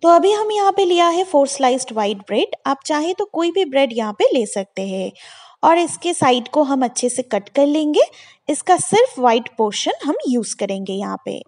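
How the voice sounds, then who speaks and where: A woman narrates a home recipe in a friendly, instructive voice-over. Her voice sits high and moves with animated pitch. She walks through each step plainly and clearly. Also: moderate pace. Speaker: cooking channel host.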